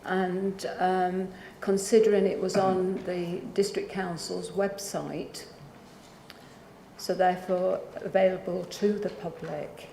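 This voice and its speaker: Woman, councillor, polite, hesitant, gravelly, echoey room. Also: fairly low pitch, little pitch movement, slow pace, dark tone, a little hiss or hum. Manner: polite, hesitant, many fillers. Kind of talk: formal question in a council meeting.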